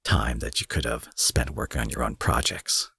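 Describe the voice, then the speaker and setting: A man's voice: extremely low pitch, normal speed, creaky, pushed and bright, slightly rough, no room sound, heavily acted. A man playing an angry, protective supervillain in an intimate audio roleplay, menacing yet tender, speaking emphatically with swearing and pet names. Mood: dry, exasperated.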